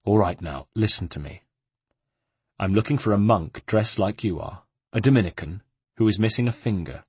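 A man reading an earnest, patient adventurer in an audiobook, speaking in a measured, courteous, firm way. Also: fairly low pitch, a little mellow, husky, dry recording. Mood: intent, questioning. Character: earnest, patient male Italian adventurer seeking information.

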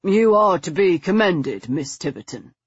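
Woman voicing a gentle, low, husky learned man. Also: sing-song, no echo, highs cut off, full character voice. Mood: gentle, commending. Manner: soothing, measured, articulate.

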